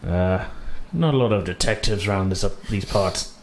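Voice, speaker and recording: A man's voice: sits low, expressive, normal speed, a little hiss or hum. A man voicing a plain-spoken, folksy village hunter in a tabletop fantasy game, hesitant as he talks. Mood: grumbling, wry.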